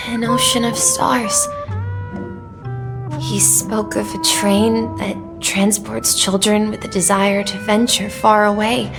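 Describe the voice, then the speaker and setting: A woman voicing an earnest, wistful young boy in a video game, telling his memories in a careful, reflective way. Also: mid-range voice. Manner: slow, careful, reflective delivery. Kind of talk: video game voice acting, storytelling. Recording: game music behind the voice. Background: background game music.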